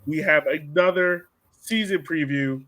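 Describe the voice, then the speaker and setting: Podcast host, man, voice a bit on the high side, informal and slangy sports chat. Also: a little slow, a bit bright.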